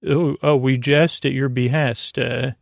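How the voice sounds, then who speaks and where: A man with a noticeably creaky, mid-low voice hosts a bedtime podcast, recorded very dry. He meanders through an improvised monologue, restarting and drifting into tangents. His phrasing is whimsical and gently silly. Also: steady tone, dry recording. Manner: meandering, halting, repeats and trails off into tangents. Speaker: host of a bedtime sleep podcast.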